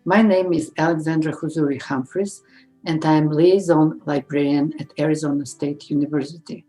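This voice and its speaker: A middle-aged woman from Uzbekistan, a librarian, answering interview questions thoughtfully with pauses. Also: sits low, fairly even intonation, dark tone, soft background music, low-quality recording. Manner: thoughtful, pausing with fillers.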